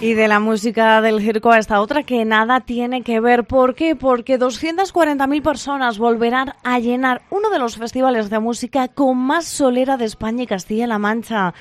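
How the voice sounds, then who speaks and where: A woman hosting a radio program, announcing in a clear, upbeat way. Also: fairly high pitch, fairly even intonation, moderate pace, few pauses, dry recording, faint music behind. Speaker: radio host. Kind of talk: radio presenting. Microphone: studio mic.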